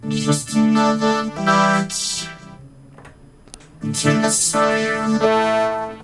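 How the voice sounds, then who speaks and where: A woman's voice: extremely slow, over loud music. A woman singing a short repeated vocal phrase, played back as a sample.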